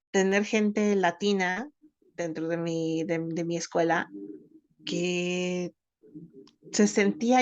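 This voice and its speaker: A woman, co-hosting a podcast and speaking thoughtfully in halting phrases. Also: mid-range voice, dry recording, dull audio. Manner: thoughtful, halting, many restarts. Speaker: podcast co-host. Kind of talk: casual conversational podcast.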